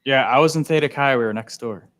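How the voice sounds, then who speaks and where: A man's voice: mid-range voice, quick pace, a bit bright. A young man chatting casually on a podcast, joking and self-deprecating.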